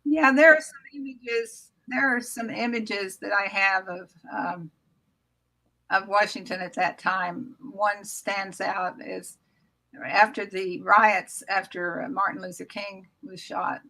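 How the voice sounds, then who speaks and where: A woman's voice: medium pitch, steady tone, slow, pushed and bright, rough recording. An American woman in her seventies from Oklahoma, casually reminiscing as a guest author on a video call. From Oklahoma, United States. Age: 70s. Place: small room at home, indoors. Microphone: computer mic on video call.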